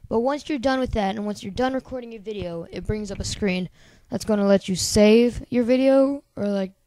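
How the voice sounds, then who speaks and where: A man with a high-pitched, squeaky voice, casually talking through a software tutorial in a rambling, chatty way full of filler words. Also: normal speed, a little hiss or hum. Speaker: tutorial host. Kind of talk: casual screen-recording tutorial.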